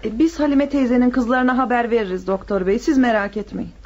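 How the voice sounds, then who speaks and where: A woman's voice: fairly high pitch, steady tone, brisk, a little mellow, close to an everyday voice. An actress in a radio drama playing a kind, dutiful neighbour woman who is respectful and reassuring. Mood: caring. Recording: dull lo-fi radio recording, music behind.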